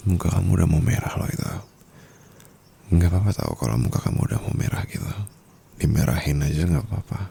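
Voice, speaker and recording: A man playing a dominant, doting rich husband in an intimate ASMR roleplay, teasing in a breathy murmur with soft chuckles. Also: sits low, fast, exaggerated voice. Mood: playful, coaxing.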